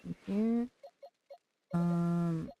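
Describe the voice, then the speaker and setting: A woman's voice: on the low side, extremely slow, creaky, mellow, muffled recording, slight background noise. A woman giving casual livestream commentary on a video game, muttering to herself as she plays.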